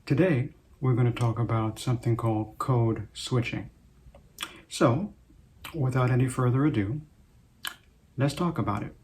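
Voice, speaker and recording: A man in his sixties, originally from Trinidad, hosting his own show and speaking in an unhurried, reflective way with many pauses. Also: medium pitch, a little mellow. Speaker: host. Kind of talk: casual personal talk to camera.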